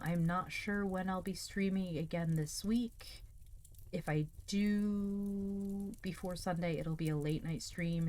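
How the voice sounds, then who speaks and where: Calm woman streamer, slightly low voice. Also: a little hiss or hum. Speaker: livestream host reading stories aloud. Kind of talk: casual livestream chat.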